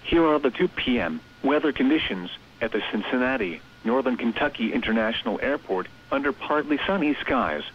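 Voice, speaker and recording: A text-to-speech man delivers scripted forecasts in an impersonal, measured manner. His voice sits at a middle pitch. It comes over weather radio, slightly muffled with a faint hiss behind it. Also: expressive. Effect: radio transmission.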